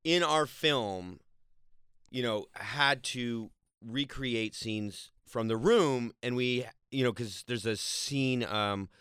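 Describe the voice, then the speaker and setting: A man's voice: mid-range voice, slow pace, a bit bright, clean tone, no echo. Guy rambling thru a film story, laughs a lot, dry close sound. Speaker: actor and director. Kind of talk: casual interview talk.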